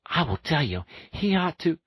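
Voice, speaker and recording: A thoughtful water rat, musing aloud in a mid-high, lilting voice. A man acts him, husky, in a dry recording. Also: sing-song, a bit bright, no echo, full character voice. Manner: musing, deliberate speech.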